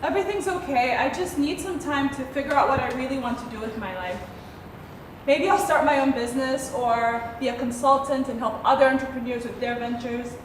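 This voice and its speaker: A woman's voice: sits high, a little fast, natural voice. A young woman acting a sassy, independent-minded grown daughter in a stage play. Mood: earnest. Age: young adult. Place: theatre stage. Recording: very echoey, noisy distant theatre recording.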